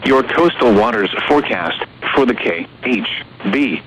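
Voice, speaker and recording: A computer-synthesized male voice reading weather observations and a marine forecast in an even, steady text-to-speech delivery. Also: mid-range voice, unhurried. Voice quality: flat, evenly paced text-to-speech delivery. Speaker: automated weather broadcast voice. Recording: muffled radio receiver recording with faint hiss.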